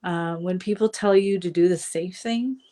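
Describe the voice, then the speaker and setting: A woman's voice: lowish voice, moderate pace, mellow. Chatty woman with a slight cold. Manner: chatty, with frequent fillers and asides. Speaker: coach hosting a live stream. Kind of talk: casual live-stream talk to viewers.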